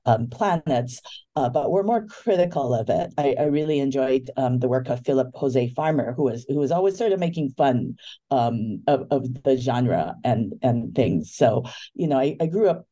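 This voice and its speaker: Fifties woman from Hawaii as an interview guest, with a deep mellow tone and a thoughtful, halting delivery. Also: expressive. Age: 50s. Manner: thoughtful, hesitant, with many ums. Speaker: book editor guest.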